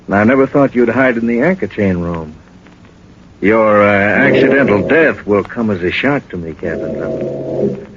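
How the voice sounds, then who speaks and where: Smug low-voiced radio villain, dull old recording. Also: male voice, slight background noise. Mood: feigned innocence. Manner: suave, sardonic, hesitating with mock politeness. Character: smug, menacing male smuggler villain, mockingly courteous. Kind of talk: 1940s radio drama acting.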